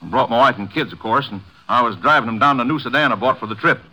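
A man's voice: mid-range voice, fast, sharp tone, low-quality recording. An actor in a 1950s radio drama playing an indignant, folksy working man who blusters in plain rustic grammar, on an old radio recording. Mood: riled up.

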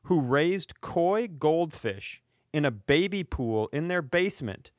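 A man's voice: fairly high pitch, lively intonation, takes it slowly, no echo, studio quality. An American man hosting a comedy podcast, ranting casually in an indignant, emphatic and profane way. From rural Upper Midwest United States.

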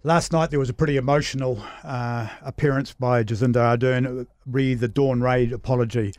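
A man's voice: mid-range voice, fairly even intonation, normal speed, darkish tone, no echo. A man hosting a radio political panel, plainspoken and conversational. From Samoa. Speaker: radio host.

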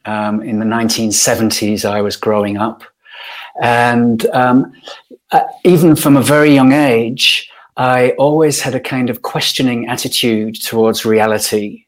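A middle-aged man from Yorkshire, speaking as a podcast guest and sharing his life story in a thoughtful, unhurried way with many pauses. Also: mid-range voice, fairly even intonation. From Yorkshire, northern England. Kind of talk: reflective personal storytelling.

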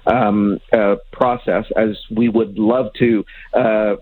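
A man giving expert commentary as an interview guest, explaining in a measured, halting way. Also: fairly low pitch, little pitch movement. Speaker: aviation consultant, interview guest. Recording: thin phone-like line.

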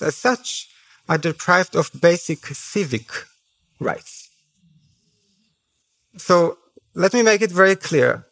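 Higher-voiced man with an Israeli accent. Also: a bit bright. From Haifa, Israel. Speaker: panel speaker. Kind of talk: personal public speech at a conference. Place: on stage at a conference.

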